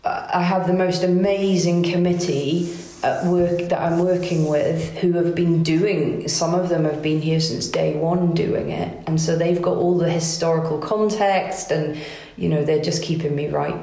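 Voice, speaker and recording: A woman's voice: on the low side, steady tone, normal speed, creaky. A woman in her forties, raised in Wales, chatting in an interview as a competition organiser, with frequent restarts. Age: 40s. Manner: chatty, warm laughs, many restarts. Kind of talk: casual interview. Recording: roomy echo.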